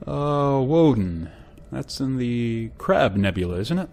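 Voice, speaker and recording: A man playing a dutiful, measured spaceship pilot in an old-time style radio drama. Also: medium pitch, animated, gravelly, darkish tone, a little hiss or hum. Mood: casual, dutiful. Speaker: radio drama actor and in-character narrator. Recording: music under the voice.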